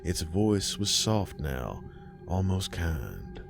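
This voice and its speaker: A man narrating a horror story in a measured, ominous way. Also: sits low, big pitch swings, creaky. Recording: music bed behind.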